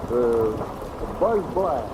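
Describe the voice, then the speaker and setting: A man's voice: medium pitch, very animated, drawn-out words. A male actor playing a folksy, obliging small-town sheriff with a rural American accent in an old western radio drama. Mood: helpful, chatty. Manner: folksy, chatty. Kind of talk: 1940s-50s radio drama character acting. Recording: vintage radio recording with clear rain noise. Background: rain.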